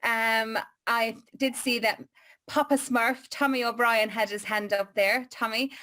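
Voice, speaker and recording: A woman's voice: fairly high pitch, steady tone, unhurried, sharp tone. A woman hosting an online meeting, upbeat and encouraging as she hands over to questioners. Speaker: host. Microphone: video call.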